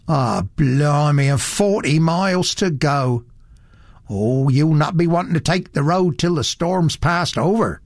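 A man's voice: on the high side, no room sound, clean studio sound, pitched above the performer's own voice. A man doing a gossipy burly country innkeeper. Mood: ingratiating. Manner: folksy, gossipy country speech. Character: big burly male innkeeper of fifty, chatty and ingratiating.

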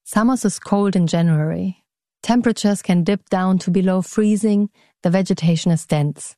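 A woman reporter narrating a news story in a measured, calm way. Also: medium pitch, no echo, studio quality.

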